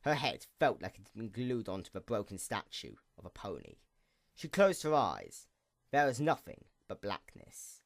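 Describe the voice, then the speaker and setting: A man narrates a scripted fantasy tale in a mid-pitched voice. His intonation rises and falls dramatically from phrase to phrase. He is deliberate, leaving weighty pauses. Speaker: story narrator.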